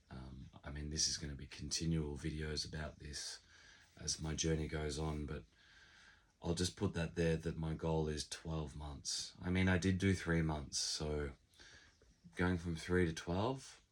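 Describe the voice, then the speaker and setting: Very deep, husky croaky man. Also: steady tone. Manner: reflective, unhurried, frequent ums. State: hoarse, recovering from illness. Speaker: channel creator. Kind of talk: casual vlog monologue. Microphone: unfamiliar backup microphone.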